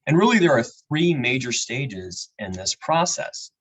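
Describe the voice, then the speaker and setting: A man, an immigration attorney, giving a measured explanatory talk at a webinar with frequent fillers. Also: mid-range voice, very animated. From Peru. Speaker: immigration attorney, guest speaker. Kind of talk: webinar presentation. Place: home or office with a map on the wall.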